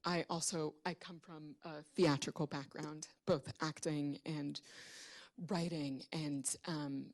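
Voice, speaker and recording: A woman with a low voice speaks slowly and deliberately as a panelist. She reflects on performance without a script, often feeling her way toward the right word. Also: fairly even intonation, a little mellow. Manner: deliberate, searching for words. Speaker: actor and writer speaking on a panel. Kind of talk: unscripted reflective talk about performance. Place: public library event before an audience.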